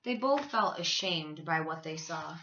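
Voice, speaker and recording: A woman teacher reading a children's story aloud, with expressive, emphatic delivery and drawn-out words. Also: low-pitched, lo-fi sound, a little hiss or hum.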